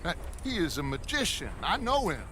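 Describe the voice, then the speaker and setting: An actor playing a charming, theatrical middle-aged outlaw gang leader in a game cutscene who talks in a grandiloquent, persuasive way. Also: male voice, high-pitched, sing-song, a little fast, background noise. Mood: smooth charm. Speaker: smooth-talking gang leader using an alias.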